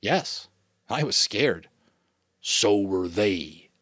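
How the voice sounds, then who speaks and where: A man voicing an old male keeper of memories, weary and wise, speaking in a measured, rueful way. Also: fairly low pitch, animated, a bit bright, no room sound, clean studio sound, heavily acted.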